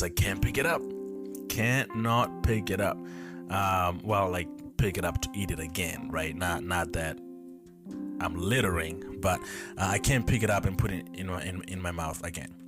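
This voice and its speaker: A young man in his twenties with a deep, low voice hosts his own podcast, recalling early memories in a loose, rambling way. He often stops to correct himself and thinks out loud. Guitar music plays clearly behind him. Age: 20s. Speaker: podcast host. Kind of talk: casual solo storytelling. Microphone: camera mic.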